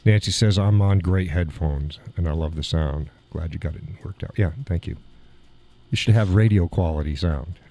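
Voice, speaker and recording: Deep-voiced guy hosting his live stream, bit of gravel, chuckles a lot, rambles on. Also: moderate pace, mellow. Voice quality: slight gravel in voice. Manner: rambling, chuckling between remarks. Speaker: live show host. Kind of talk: casual live-stream chat. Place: home studio in a weight room. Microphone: studio mic through mixer board.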